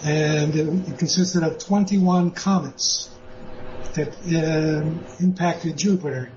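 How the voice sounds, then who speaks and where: A man being interviewed as a guest author, speaking in a measured, hesitant way with many pauses. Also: a little high, fairly even intonation, dull audio, noisy background. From born in Austria, lives in the US. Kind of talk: interview answers.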